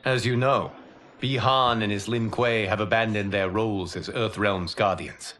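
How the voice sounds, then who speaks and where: An actor playing a calm, noble guardian deity and mentor in a video game, grave and gracious, with formal, measured phrasing. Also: male voice, fairly low pitch, natural voice. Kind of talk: cinematic game cutscene acting.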